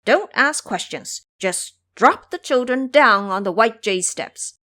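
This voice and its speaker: A woman's voice: fairly high pitch, lively intonation, takes it slowly, sharp tone, full character voice. A woman voicing a bossy, brash male monkey hero in an audio drama, with a commanding manner.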